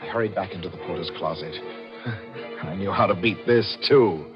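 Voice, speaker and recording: An actor playing a cunning, gloating murderer narrating his crime with intense, dramatic pauses in a 1940s radio thriller. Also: low-pitched, lightly acted. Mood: triumphant. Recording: muffled 1940s radio recording with organ music. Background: dramatic music.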